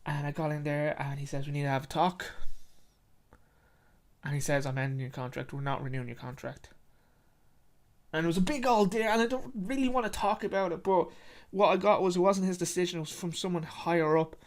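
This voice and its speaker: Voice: mid-range voice, a little fast, close to an everyday voice. A storyteller voicing a dejected young Irish man who rambles wearily and hesitantly in a short story. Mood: flustered.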